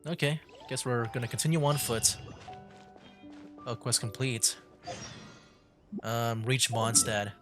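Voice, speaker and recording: Very low-voiced woman streaming a game. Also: slow, long pauses, over music. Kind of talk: casual live gameplay commentary.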